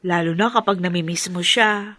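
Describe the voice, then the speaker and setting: A woman's voice: on the low side, moderate pace, a bit bright. A woman acting a devoted young daughter who has lost a parent, in an earnest, emotional radio drama style. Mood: gentle, wistful.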